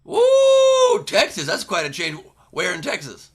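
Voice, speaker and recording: A man's voice: sits high, wildly swooping pitch, a little slow. A man hosting a comedy show, bantering with guests in a casual joking way and laughing often. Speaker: comedy podcast host.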